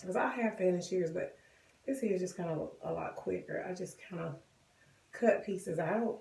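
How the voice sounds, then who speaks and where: A woman with a cold and a hoarse voice, chatting casually to viewers as she walks them through a wig styling tutorial. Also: lowish voice, normal speed, a little mellow, far from the mic. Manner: chatty, addresses viewers directly. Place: bathroom. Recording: echoey bathroom, voice off-mic.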